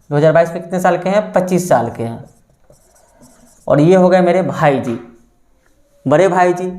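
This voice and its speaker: A man's voice: high-pitched, darkish tone. A man teaching a maths lesson for exam preparation. He calls his listeners friends, asks the class a question and then answers it himself, repeating the answer.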